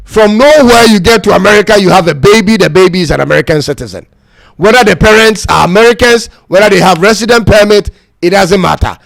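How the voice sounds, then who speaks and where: A man's voice: sits high, fast, vocal fry, no echo. A man hosting a casual live-stream talk show, musing and chatting to his audience. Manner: chatty, reflective, addresses "ladies and gentlemen". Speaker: host.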